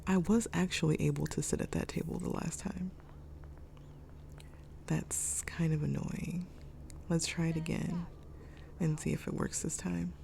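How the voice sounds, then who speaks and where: A young woman talks through a game session in a low, gravelly voice, made raspy by a cold she is getting over. Her tone is mellow and dark, and she thinks aloud with frequent ums. A faint hiss of noise runs under the mic. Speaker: gaming YouTuber. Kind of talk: casual let's-play commentary. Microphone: desk microphone.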